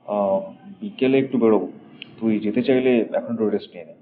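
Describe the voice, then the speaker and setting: Man playing calm, probing detective, dull recording. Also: fairly low pitch, brisk, voice pushed down, lightly acted. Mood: pensive. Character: calm, probing elder-brother detective, thoughtful smoker. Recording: dull recording with faint noise.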